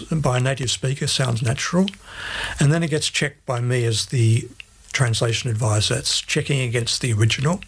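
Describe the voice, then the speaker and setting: Husky Australian man explaining methodically. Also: mid-range voice. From Australia, grew up in Sydney. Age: middle-aged. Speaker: interview guest, Bible translation advisor. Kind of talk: explanatory interview answers.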